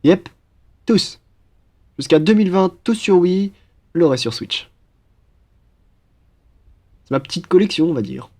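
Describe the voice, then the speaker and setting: A man's voice: sits high, lively intonation, measured pace, long pauses, darkish tone, husky, exaggerated voice. A young man playing a cheerful, teasing student roommate in a casual, one-sided audio roleplay. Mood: playful, teasing. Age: young adult. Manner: playful, chatty, bantering.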